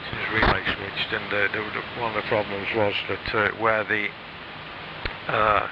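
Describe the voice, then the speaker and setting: A man's voice: on the low side, flat delivery. A British man chatting casually about technical matters over amateur radio, hesitant and rambling, with a frog in his throat. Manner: hesitant, rambling, frequent pauses. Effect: shortwave radio transmission. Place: home radio shack. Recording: muffled shortwave radio, loud static noise.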